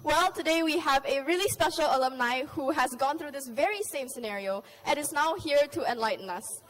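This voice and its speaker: A teenage girl co-hosts a school talk show and asks her questions softly, with small hesitations. Her very high voice has a long echo, as if she is speaking in a large school hall. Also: brisk. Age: teens. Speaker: student host. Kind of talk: scripted show hosting.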